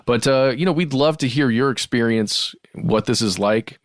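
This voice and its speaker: Male podcast co-host, mid-pitched, earnest and informal, reads and chats, very dry recording. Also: normal speed, dry recording. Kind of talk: casual podcast talk and reading listener mail.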